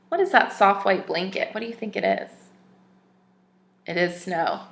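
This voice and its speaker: A woman teacher reading a picture book aloud to young children, expressive and stopping to ask them questions. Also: mid-range voice, echoey room.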